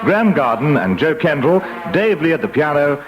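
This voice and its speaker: A man's voice: a little high, sing-song, normal speed. A man reading scripted closing credits as a radio announcer. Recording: dull old radio broadcast. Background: loud music.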